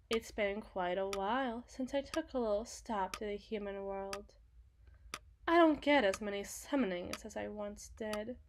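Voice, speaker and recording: Casting: woman to play teasing, cruel demoness for ASMR roleplay; higher-set voice, unhurried theatrical delivery. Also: slight background noise. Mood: coolly teasing. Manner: theatrical, taunting, deliberate phrasing. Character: sadistic, teasing female demon bargaining for souls.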